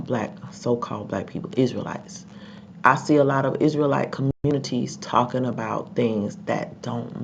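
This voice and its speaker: Congested, gravelly vlogger with a cold. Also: mid-range voice. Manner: rambling, many ums, repeats words. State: sick, congested. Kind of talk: casual commentary vlog. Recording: dull lo-fi with faint background noise.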